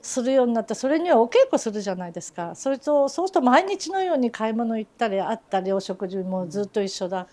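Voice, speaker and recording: A woman's voice: on the high side, sing-song, fast, hardly any pauses, dark tone. A woman who runs a teahouse and works as a geisha, telling fond stories in an interview with frequent laughter. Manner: chatty storyteller, fond, often laughing. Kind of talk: reminiscing interview chat.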